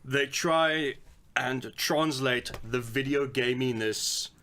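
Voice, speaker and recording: A man's voice: mid-range voice, slow pace, pushed and bright. A man co-hosting a casual podcast, talking bluntly with dry jokes. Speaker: podcast co-host.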